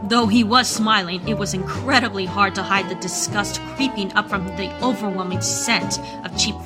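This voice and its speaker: A woman's voice: mid-range voice, fairly even intonation, normal speed. A woman narrator reading a scripted dramatic story for a radio play. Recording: loud music under the voice. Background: loud music.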